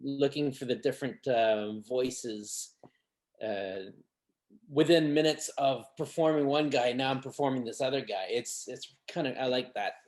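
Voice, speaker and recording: Halting man in casual conversation. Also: medium pitch, a little mellow. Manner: halting, repeats himself. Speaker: voice actor in an audio drama. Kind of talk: casual chat.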